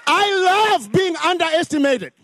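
An older male pastor with a Zimbabwean accent preaches with emphatic force. His voice sits high for a man and climbs further when he drives a point home. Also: very animated, measured pace, pushed and bright. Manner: emphatic preaching with rising exclamations. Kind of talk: sermon.

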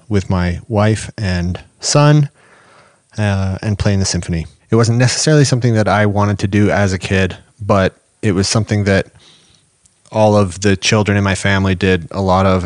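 Reflective Canadian man, slightly low voice. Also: fairly even intonation, moderate pace, no echo, clean studio sound. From small-town Manitoba, Canada. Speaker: orchestral violinist, podcast guest. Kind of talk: casual reminiscence.

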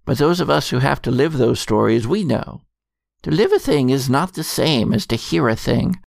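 A heavily creaky, gravelly storyteller played by a man, weary and secretive, resigned to doom. He narrates a dark audio drama in measured, portentous phrases, recorded close and dry. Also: mid-range voice, lively intonation, no room sound. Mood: brooding. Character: weary secretive keeper of lore, doomed and resigned.

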